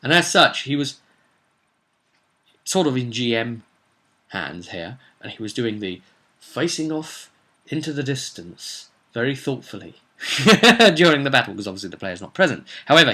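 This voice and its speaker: A man, a game master, casually recounting a tabletop roleplay session in an animated, conversational way. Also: mid-range voice, normal speed. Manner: animated storyteller, conversational asides and tag questions.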